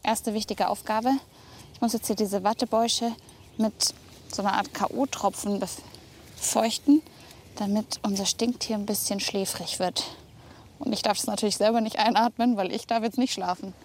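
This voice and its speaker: A woman reporting for a children's wildlife show, commenting spontaneously with an excitable, curious and expressive manner. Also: medium pitch, moderate pace. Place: on location, outdoors. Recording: faint outdoor ambient noise.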